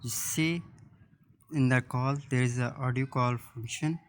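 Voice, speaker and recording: A man presenting an unscripted phone app tutorial in halting, piecemeal phrases. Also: mid-range voice, steady tone, clear, resonant tone. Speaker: YouTube tech presenter.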